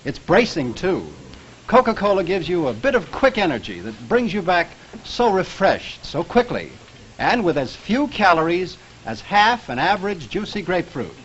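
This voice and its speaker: A man delivering a folksy 1950s advertising pitch as a commercial announcer. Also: very animated, normal speed, slight background noise. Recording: dull vintage commercial soundtrack. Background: music.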